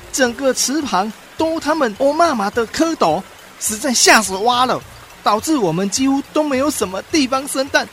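A woman playing an anxious, pleading frog underling in a radio drama, whining at the boss and adding croaks. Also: high-pitched, slight background noise. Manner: whining, croaking at ends of speeches.

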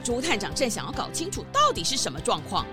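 Female narrator, children's story: fairly high, expressive, swooping intonation over music. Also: fast.

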